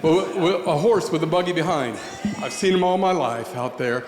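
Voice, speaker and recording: A man's voice: a little high, lively intonation, moderate pace. A man from southeastern Pennsylvania telling a personal story on stage in a folksy, joking way. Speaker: storyteller performer. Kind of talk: spoken storytelling. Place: coffeehouse stage. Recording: echoey room with long reverb.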